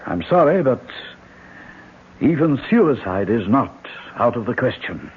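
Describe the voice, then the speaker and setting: A man playing a frank, grave country doctor in a 1970s radio drama, speaking in a measured, emphatic, formal way. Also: medium pitch, sing-song, slightly rough, narrow, tinny audio. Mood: grave, insistent.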